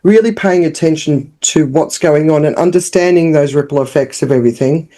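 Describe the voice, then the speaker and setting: A woman with an Australian accent, a founder speaking as a podcast guest in a frank, lively, conversational way. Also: low-pitched, fairly quick. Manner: lively and frank, with frequent restarts and fillers. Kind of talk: casual interview talk.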